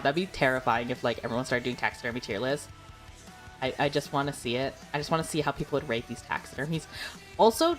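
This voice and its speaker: Giggly, chatty streamer woman, lively pitch. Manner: chatty, giggly, rambling with fillers. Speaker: VTuber streamer. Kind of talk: casual livestream chat.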